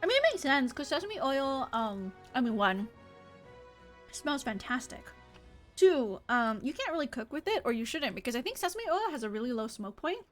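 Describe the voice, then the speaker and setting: A woman's voice: high voice, very animated, moderate pace. A woman livestreaming a game, chatting in an informal, rambling way. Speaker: live streamer playing an online game. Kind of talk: casual livestream chat and reading game dialogue aloud. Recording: music behind the voice. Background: game music.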